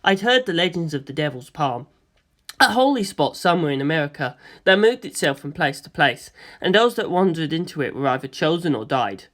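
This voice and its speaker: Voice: mid-range voice, big pitch swings, brisk, a bit bright. A narrator reading a first-person story expressively, in the manner of an audiobook.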